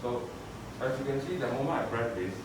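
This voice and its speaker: A man giving a hands-on spoken demonstration as an instructor, explaining in a halting, repetitive way. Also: mid-range voice, lively intonation. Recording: echoey room, distant mic, noisy background.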